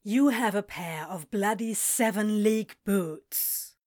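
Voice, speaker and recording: A small serpent prince acted by a woman in an audio story. He speaks slowly, in a flat, faintly hissing drawl. His airs are princely and his temper is dry and sardonic. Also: mid-range voice, natural voice. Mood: demanding, incredulous. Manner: sibilant, drawn-out, flat drawl.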